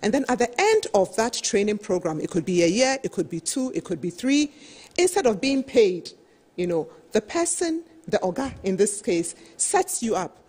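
A middle-aged woman speaks at a business panel in a medium-pitched, slightly husky voice. She explains her points emphatically, peppering them with "you know". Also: very animated, normal speed, darkish tone. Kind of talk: business presentation at a televised roundtable. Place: television studio, seated at a desk.

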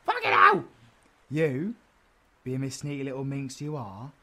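A cheeky, impulsive British supervillain from an audio drama, voiced by an actor. The delivery is excitable and swings widely in pitch, full of slang and bawdy banter. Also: measured pace, exaggerated voice. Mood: scheming. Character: cheeky, foul-mouthed, rowdy, impulsive supervillain rogue. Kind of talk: audio roleplay acting.

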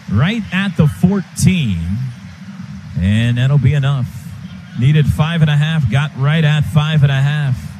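A man's voice: on the high side, darkish tone, low-quality recording. An American man giving live football play-by-play on the radio in short, clipped phrases. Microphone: broadcast booth microphone. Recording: live stadium broadcast, faint ambient noise.

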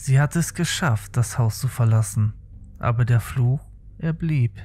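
A man narrating a scripted horror story in a suspenseful, measured storytelling manner. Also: mid-range voice, mellow, a little hiss or hum.

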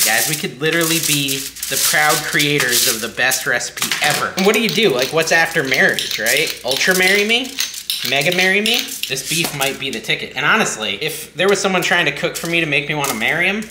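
A man in his thirties hosting a casual home cooking vlog, chatty and self-deprecating, with his voice a bit worn from losing it. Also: a little high. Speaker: cooking channel host. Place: home kitchen, indoors. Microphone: backup camera mic at a distance. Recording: echoey kitchen, noisy backup mic.

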